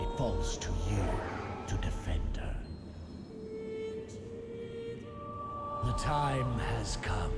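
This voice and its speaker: An actor playing a weary, solemn leader in a game cutscene, with a gravelly voice and portentous, deliberate delivery. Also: male voice, low-pitched, heavily acted. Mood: solemn resolve. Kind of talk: dramatic game cutscene dialogue. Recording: loud music behind the voice.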